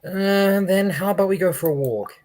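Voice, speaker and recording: A man's voice: high-pitched, normal speed, crowd noise. A man livestreaming a video game with casual commentary, reading dialogue aloud.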